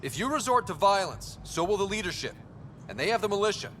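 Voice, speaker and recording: A man's voice: high voice, very animated, fast talker, fairly bright tone, slight background noise. An actor playing an earnest young male space explorer in a video game, speaking directly and plainly. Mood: urgent, reasoning. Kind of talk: scripted game dialogue.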